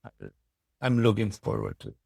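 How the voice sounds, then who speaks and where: A man with a strong Italian accent speaking reflectively in an interview, pausing to search for his words. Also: fairly low pitch, huge pitch swings, vocal fry, mellow, no echo, clean studio sound. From Italy. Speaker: podcast guest and university educator. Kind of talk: conversational interview.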